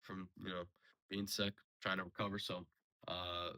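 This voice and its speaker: A young man giving a casual, rambling solo sports recap, a little hoarse from a sore throat and full of fillers and restarts. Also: deep voice, flat delivery, moderate pace, bright, forceful tone. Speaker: sports podcast host.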